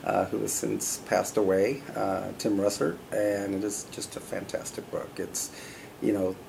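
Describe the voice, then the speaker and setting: Low-voiced man telling stories. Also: a little slow, vocal fry, noisy background. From California, US. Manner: relaxed and conversational, with frequent "uh" and "you know". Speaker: guest, company CEO. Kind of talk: casual interview storytelling.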